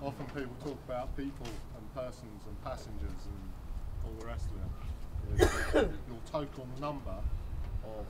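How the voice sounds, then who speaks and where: A man's voice: medium pitch, unhurried, distant mic. A man instructing a group of surveyors on site at a marina, speaking directly to them. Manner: lively, addresses the group directly. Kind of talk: on-site teaching. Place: outdoor marina. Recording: loud outdoor noise.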